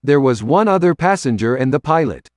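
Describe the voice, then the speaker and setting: A man's voice: mid-range voice, animated, a little fast, no room sound, studio quality. An American man giving scripted documentary narration for a local history program.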